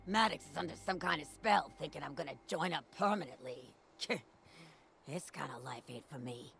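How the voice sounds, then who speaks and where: A woman's voice: low voice, moderate pace, creaky, fairly bright tone. An actress voicing a tough, blunt ghoul woman from Pittsburgh who survived the bombs as a factory worker, talking in a wry, plain-spoken way. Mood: somber. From Pittsburgh, USA. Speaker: game character. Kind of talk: video game dialogue.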